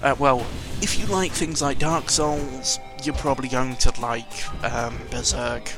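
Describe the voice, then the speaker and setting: Male gaming vlogger, mid-pitched, loose off-the-cuff delivery, hesitant and grumbly, clear music underneath. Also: steady tone, measured pace. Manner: hesitant, meandering, opinionated grumbling with mild swearing. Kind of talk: rambling casual audio log over gameplay.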